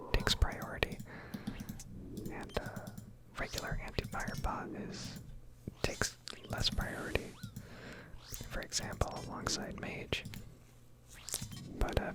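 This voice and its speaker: Woman, soft whisper, ASMR gaming commentary, casual and rambling, hesitant phrasing, close mic. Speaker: gaming video host.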